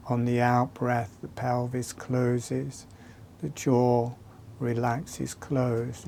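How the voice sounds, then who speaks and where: A man leading a guided breathing and relaxation exercise, speaking calmly and soothingly in short phrases with long pauses. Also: mid-range voice, vocal fry.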